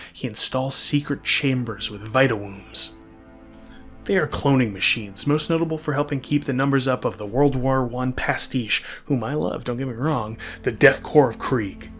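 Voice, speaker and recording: Male lore narrator, conversational and emphatic with dry asides, music underneath. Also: medium pitch. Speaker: host and narrator of a fan lore video. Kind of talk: semi-scripted lore narration with casual asides. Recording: music behind the voice. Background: background music.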